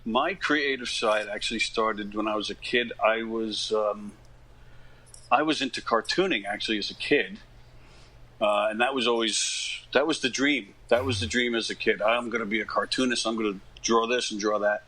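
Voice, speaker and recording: A man of about fifty from Long Island, New York, telling stories casually as an interview guest, in a halting, informal way that often doubles back. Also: fairly low pitch, sharp tone, husky, rough recording. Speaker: interview guest, stage actor. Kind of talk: casual conversational storytelling.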